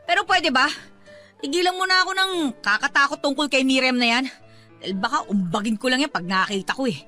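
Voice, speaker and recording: A woman's voice: high voice, fast talker, sharp tone. A woman playing a tough-talking tomboy young mother, blunt and emotional, reluctantly in love, in a Filipino radio-style romance drama. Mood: jealous threatening. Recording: music under the dialogue.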